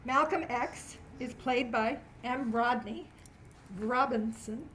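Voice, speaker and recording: A woman's voice: a little high, slow pace, fairly bright tone. A woman, speaking live to an audience as the host introducing a performance. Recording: live room with faint background noise.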